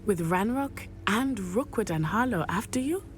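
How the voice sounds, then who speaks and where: A woman's voice: mid-range voice, animated, slight background noise, lightly acted. A woman playing an earnest, determined student. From Matabeleland. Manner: earnest, formal, measured. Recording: game audio with faint music.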